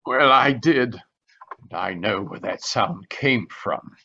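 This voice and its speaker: A man playing a proud, haunted retired colonel in a radio mystery, formal and excitable, heard over a video call. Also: fairly low pitch, takes it slowly, gravelly, slight background noise, exaggerated voice. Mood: haunted, frantic. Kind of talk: 1940s radio mystery acting. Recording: dull, lo-fi video call audio.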